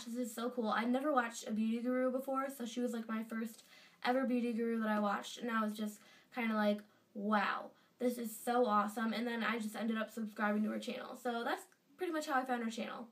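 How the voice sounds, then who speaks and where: A woman's voice: a little high, fairly even intonation, brisk, fairly bright tone, far from the mic. A teenage girl with a stuffy nose from a cold, gushing in a casual fan vlog from her bedroom. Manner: chatty and gushing, lots of fillers. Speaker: vlogger. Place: bedroom indoors. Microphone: webcam at desk distance.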